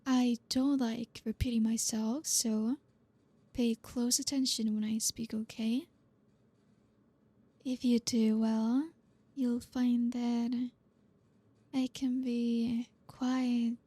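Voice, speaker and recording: A woman's voice: a little high, level tone, takes it slowly, long pauses, mellow, no room sound. A woman playing a flirty, teasing, dominant boss in an ASMR roleplay, speaking breathily and often slipping into a whisper. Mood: commanding, teasing.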